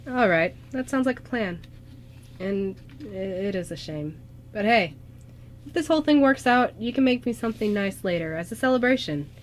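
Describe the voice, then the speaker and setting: A woman playing a gentle, earnest young male ghost in an amateur radio drama, speaking sincerely and haltingly to a friend. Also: medium pitch, lively intonation, a little hiss or hum, lightly acted. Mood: hopeful, determined.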